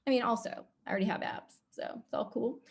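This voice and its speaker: A woman's voice: fairly high pitch, quick pace. A woman talking casually as a panelist, explaining her advice in a chatty way. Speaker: panelist, TV news producer.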